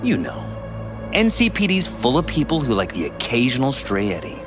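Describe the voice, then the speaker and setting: An actor playing a polished but troubled middle-aged politician in a video game, speaking in a measured, courteous, earnest way. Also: male voice, medium pitch, huge pitch swings. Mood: confiding, measured. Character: polished, troubled male politician confiding in an investigator. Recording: music behind.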